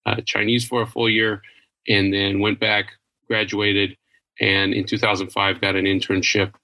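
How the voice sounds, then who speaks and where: A man's voice: on the low side, bright, forceful tone, rough recording. A man from Idaho in his thirties, answering interview questions thoughtfully and often restarting his sentences. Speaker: business executive guest.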